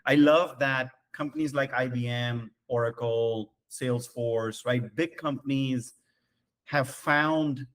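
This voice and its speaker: Enthusiastic guy hosting a podcast, mid pitch voice. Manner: upbeat, enthusiastic. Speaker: podcast host. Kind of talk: podcast interview.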